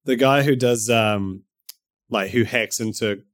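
A man's voice: medium pitch, unhurried, no echo, studio quality. A man with a New Zealand accent, chatting as a podcast guest, swearing often and laughing a lot. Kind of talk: casual chat.

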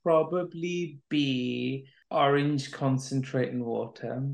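A man, a podcast guest giving camp, sassy quick answers in a quiz. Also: medium pitch, fairly even intonation, vocal fry. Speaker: podcast guest, drag artist. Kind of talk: playful rapid-fire quiz answers. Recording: echoey room.

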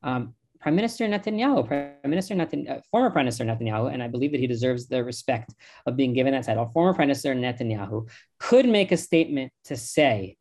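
A man's voice: mid-range voice, animated, fast, darkish tone. A man, a rabbi with an American accent, speaking as an interview guest in an impassioned, emphatic way. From United States.

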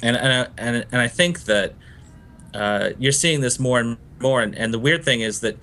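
A man's voice: medium pitch, moderate pace, bright, forceful tone. A man from Florida, a novelist being interviewed, talking in a thoughtful, discursive way and laughing easily. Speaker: novelist guest. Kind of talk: interview conversation.

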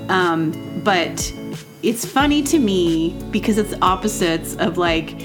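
A woman's voice: on the low side, animated, slow pace. Rambling woman host with music behind. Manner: rambling, many fillers and restarts. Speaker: podcast host. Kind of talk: casual personal monologue. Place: temporary home setup.

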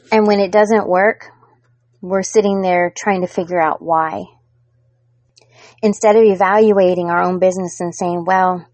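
Woman, middle-aged, podcast host, earnest and encouraging, mid-pitched voice, dry close mic. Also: a little mellow, no room sound. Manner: earnest, encouraging, speaks straight to listeners. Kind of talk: solo motivational podcast talk.

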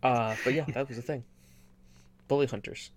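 A man's voice: mid-range voice, steady tone, normal speed, heavy background noise. A man co-hosting a casual gaming podcast, chatting in a rambling, joking way with repeated and restarted phrases. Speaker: podcast co-host.

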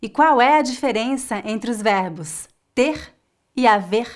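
A woman with a Brazilian accent teaching a Portuguese lesson in a slow, clear, scripted way. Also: fairly high pitch, expressive. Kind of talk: scripted online language lesson.

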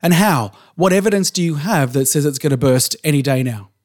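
Argumentative Australian podcast co-host. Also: male voice, fairly high pitch, expressive, a little fast. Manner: argumentative, insistent, swears casually. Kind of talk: casual podcast debate.

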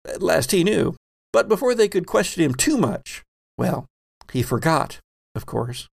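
Guy hosting a tabletop game podcast, slow dramatic storyteller delivery, pitch moves around a lot. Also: vocal fry. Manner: dramatic storytelling phrasing with deliberate pauses. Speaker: host and game master.

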